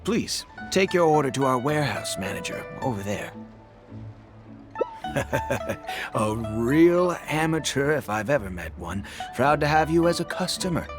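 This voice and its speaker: An actor playing a cheery, sly fish seller in a video game, with showy hawker's patter and music behind. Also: male voice, medium pitch, big pitch swings, a little slow. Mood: jovial, sly. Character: cheery, sly amateur fishmonger man hawking seafood. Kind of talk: video game character acting.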